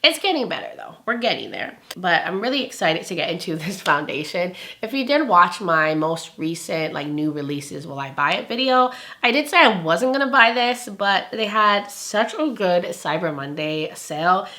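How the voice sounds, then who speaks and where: A woman in her thirties, a little raspy while she gets over laryngitis, chatting casually through a makeup review at home. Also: fairly low pitch, very animated, moderate pace. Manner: chatty and upbeat, often drops to a quieter tone while applying. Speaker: beauty vlogger. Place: home filming room with shelves and vanity lights.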